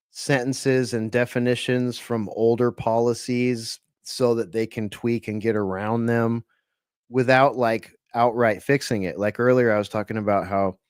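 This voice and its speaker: A man's voice: lowish voice, clean tone, no echo, clean studio sound. A man co-hosting an online talk show, chatting casually about politics with lots of fillers and restarts. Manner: rambling, hesitant, many fillers. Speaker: podcast co-host.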